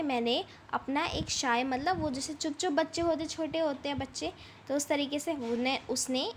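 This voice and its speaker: A woman teacher explains an English story in Hindi, reading the English lines slowly and then rephrasing them again and again. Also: high-pitched, slight background noise.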